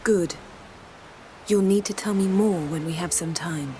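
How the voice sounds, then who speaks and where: An actress playing a cool, self-assured sorceress in a video game, speaking in a measured, commanding way. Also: female voice, lowish voice, mellow, slight background noise, lightly acted. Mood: probing. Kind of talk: video game cutscene acting. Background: music.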